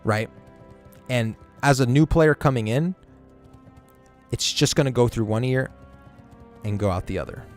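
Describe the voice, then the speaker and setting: A man's voice: mid-range voice, unhurried, long pauses, soft background music. A man streaming a game, chatting casually and giving rambling, opinionated takes with plenty of swearing. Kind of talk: casual gaming stream chat.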